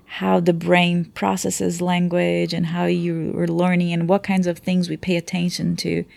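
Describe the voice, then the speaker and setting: A woman's voice: lowish voice, monotone, a little slow, creaky, darkish tone, no room sound. A woman whose first language is Portuguese, a teacher explaining language learning in a conversation, often checking in with "right?". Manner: explanatory, frequent "right?" tags. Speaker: teacher, guest. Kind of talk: conversational interview.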